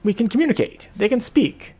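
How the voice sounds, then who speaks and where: A man's voice: extremely high pitch, very animated, fairly quick, mellow, husky, voice pushed up, full character voice. A man reading aloud, in his own voice, the diary entry of a game character, an earnest and hopeful woman.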